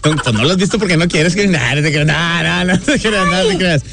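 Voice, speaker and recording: A man's voice: high voice, fairly quick, gravelly. A man in his thirties with a northern Mexican accent hosting a radio show in slangy, exuberant casual talk, repeating phrases for emphasis. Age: 30s. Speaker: radio host. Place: radio studio. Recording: radio broadcast with music underneath.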